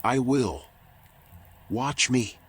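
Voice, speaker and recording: An actor playing a world-weary raccoon detective in a noir video game, terse, dry and guarded. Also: male voice, mid-range voice, drawn-out words, slightly rough. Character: male raccoon private eye, world-weary, sardonic, guarded.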